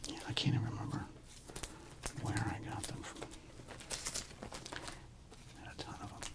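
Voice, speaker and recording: A man hosting an ASMR video, speaking in a breathy whisper with a gravelly creak, rambling casually as if musing to himself. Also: moderate pace. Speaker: host of a sports card ASMR channel. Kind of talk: ASMR, unscripted whispered commentary on a card collection.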